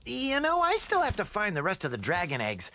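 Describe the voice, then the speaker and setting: A man's voice: high-pitched, huge pitch swings, brisk, bright, forceful tone, slight background noise, full character voice. A man voicing a young, brave male dragon hero in a video game cutscene, easygoing and wry.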